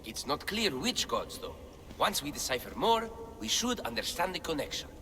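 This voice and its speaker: Eager nerdy scholar in a video game, bright voice that goes up and down a lot. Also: male voice, on the high side, long reverb tail, slight background noise. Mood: explaining, keen. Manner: enthusiastic, expressive, with dramatic pauses. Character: eager, excitable male scholar of ancient texts in a game. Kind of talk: video game cutscene acting.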